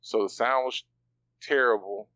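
A man's voice: medium pitch, steady tone, measured pace, sharp tone, dry recording, highs cut off. A man co-hosting a podcast in casual chat, rambling loosely with plenty of fillers and slang. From part Bahamian. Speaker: podcast co-host.

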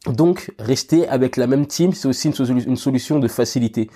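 A man's voice: medium pitch, a little fast, mellow. A man with a cold hosting a casual solo podcast, thinking aloud with many fillers and restarts and talking straight to the listener. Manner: addresses listener directly with "tu vois". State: has a cold, sniffling. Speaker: podcast host.

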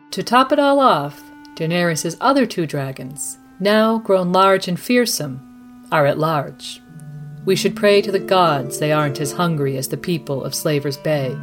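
A woman hosting as a news anchor, reading a scripted bulletin in a measured, formal newsreader delivery. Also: lowish voice. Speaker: podcast host as news anchor. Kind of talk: scripted mock news broadcast.

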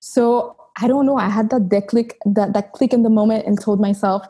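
Earnest woman from Haiti, mellow husky voice. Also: mid-range voice, fairly even intonation, normal speed. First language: Haitian Creole. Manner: earnest, reflective, with frequent restarts. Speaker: chiropractor, interview guest. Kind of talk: casual storytelling interview.

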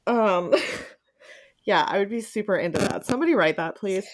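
A woman's voice: medium pitch, animated, moderate pace. A woman hosting a podcast, chatting casually and enthusiastically about fan fiction, swearing freely. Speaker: podcast host.

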